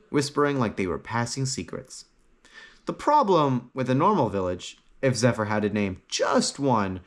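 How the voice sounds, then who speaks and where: A man narrates a scripted fantasy tale in a middle-pitched voice. His intonation swings widely as he reads dramatically, phrase by phrase. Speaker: story narrator.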